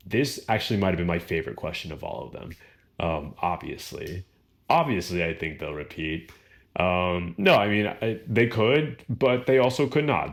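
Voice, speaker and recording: A young man with a baritone voice answering viewer questions in a relaxed, rambling vlog chat full of fillers. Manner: relaxed, rambling, lots of fillers and small laughs. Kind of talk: casual Q&A chat.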